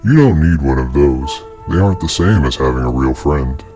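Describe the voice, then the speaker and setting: Very deep, coaxing little monster. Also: male voice, lively intonation, normal speed, music in the background. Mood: confiding, needy. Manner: coaxing, insistent, secretive. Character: small sinister creature posing as a child's coaxing friend.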